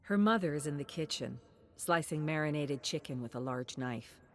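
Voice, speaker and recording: Low-voiced woman narrating a dramatic story. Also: lively intonation, normal speed. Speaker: narrator of game lore stories.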